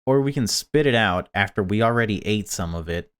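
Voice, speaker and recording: A man's voice: fairly low pitch, lively intonation, brisk, clean tone. A man hosting a gaming video, joking around and swearing casually in rambling let's play commentary.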